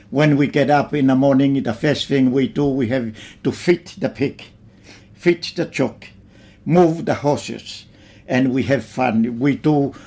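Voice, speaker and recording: Brief: older man from Tonga, interviewee, unhurried and plain-spoken, mid-pitched voice. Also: warm tone. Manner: slow, plain reminiscing with simple phrasing. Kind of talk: oral history interview.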